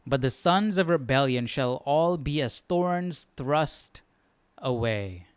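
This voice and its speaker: A man's voice: medium pitch, lively intonation, slow, clear, resonant tone, no echo. A man hosting a Bible study in an informal way, thinking aloud and often checking in with the listener. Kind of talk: casual live study commentary.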